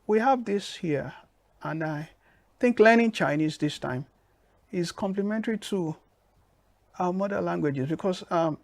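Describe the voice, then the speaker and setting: A man with a Nigerian accent answering interview questions in a measured, emphatic way. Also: high voice, gravelly, no room sound. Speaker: guest.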